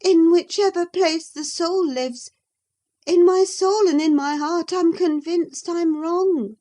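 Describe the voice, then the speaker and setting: A woman's voice: extremely high pitch, slow pace, warm tone, pitched above the performer's own voice. A woman reading an audiobook, voicing a passionate, willful young woman who speaks with emphatic feeling. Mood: impassioned. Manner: impassioned, emphatic.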